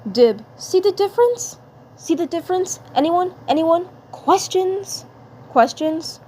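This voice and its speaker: High, insistent cartoon schoolboy, emphatic and pleading, played by a woman, faint noise behind. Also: lively intonation, unhurried, darkish tone. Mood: eager, challenging. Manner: emphatic, pleading, repeats phrases. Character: excitable, insistent schoolboy convinced of aliens, cartoon.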